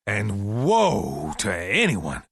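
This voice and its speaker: A defiant, paranoid American militia leader in a video game, a middle-aged man with a rough, husky voice. He preaches like a folksy but menacing demagogue, with pointed pauses and big melodic swings. The sound is close and very dry. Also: on the low side, wildly swooping pitch, fairly bright tone, dry recording, heavily acted. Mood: ominous. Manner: preachy, emphatic, deliberate pauses. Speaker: militia leader broadcasting to survivors. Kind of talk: dramatic radio monologue, game voice acting.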